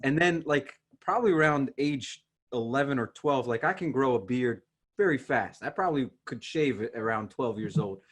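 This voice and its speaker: A man from Ukraine hosting a podcast with casual, teasing banter and animated storytelling, in a husky voice. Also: mid-range voice, moderate pace. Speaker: podcast host.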